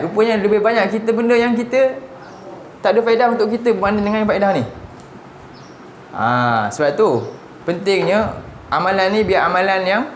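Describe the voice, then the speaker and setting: A Malaysian man in his forties leads a Quran lesson in a fairly high voice. He keeps turning to his listeners with rhetorical questions as he explains. The room adds noticeable echo, with a faint hum of noise underneath. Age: 40s. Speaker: religious teacher. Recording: roomy with faint background noise.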